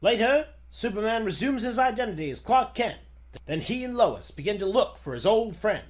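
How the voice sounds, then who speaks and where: A man's voice: high-pitched, big pitch swings, moderate pace, faint music behind. A man narrating a story in the scripted style of a 1940s radio serial.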